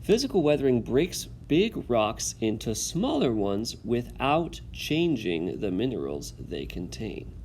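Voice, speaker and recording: Male teacher, low and gravelly, guiding students through a read-aloud over faint background noise. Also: very animated, a little mellow. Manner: instructive, pausing to check understanding. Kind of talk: instructional video with read-aloud.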